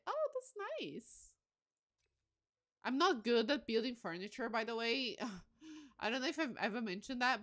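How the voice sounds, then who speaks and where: A woman's voice: medium pitch, a bit bright. A woman in her late twenties, a bit tired, chatting casually and candidly as she livestreams a game, giggly and self-deprecating. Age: late 20s.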